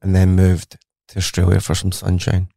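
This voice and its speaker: Deep-voiced Scottish man, eager storyteller. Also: completely flat delivery, moderate pace, gravelly, dark tone, no room sound, clean studio sound. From Scotland. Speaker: guest scientist. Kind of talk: podcast interview.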